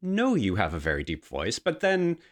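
A man's voice: lowish voice, huge pitch swings, normal speed. A man hosting an interview podcast, moving between scripted introduction and conversational questions.

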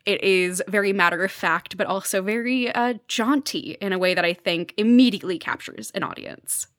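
A woman's voice: mid-range voice, normal speed, a bit bright, dry recording, clean studio sound. A woman guest on a podcast, explaining pop culture in an enthusiastic, conversational way.

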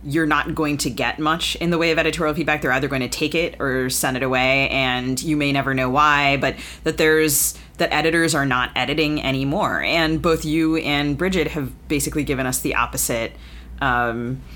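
Very low-voiced woman podcast host. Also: steady tone, normal speed, a bit bright, slight background noise. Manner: hesitant, many "um"s and restarts. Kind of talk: interview questions.

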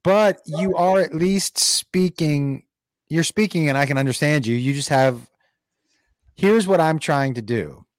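A man's voice: on the high side, unhurried, no echo. A man hosting a casual video call, playful and self-deprecating as he chats with guests.

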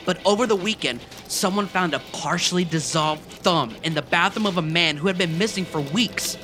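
A man's voice: high voice, moderate pace, close to an everyday voice. An actor playing a keen young office colleague turned amateur sleuth in an audio drama, a man who talks eagerly and dramatically. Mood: intrigued, conspiratorial. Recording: music under the voice.

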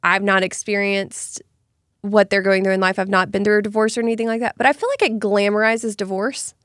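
A woman's voice: mid-range voice, flat delivery, brisk, a bit bright, no room sound, studio quality. Slightly nasal woman getting over flu. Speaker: podcast co-host. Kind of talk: casual chat.

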